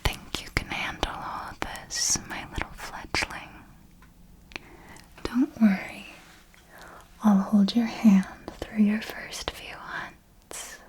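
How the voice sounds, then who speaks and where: A woman's voice: medium pitch, flat delivery, slow, long pauses, a little mellow, natural voice, low-quality recording. A woman voicing an ancient, serenely ruthless and darkly tender female vampire mentor in an ASMR roleplay, speaking formally and coaxingly in a whisper. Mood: patient, instructive.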